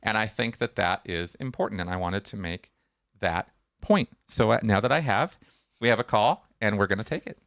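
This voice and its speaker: A man's voice: lowish voice, normal speed. A man hosting a live call-in podcast, chatting casually and rambling with restarts. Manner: relaxed, rambling, many restarts. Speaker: podcast host.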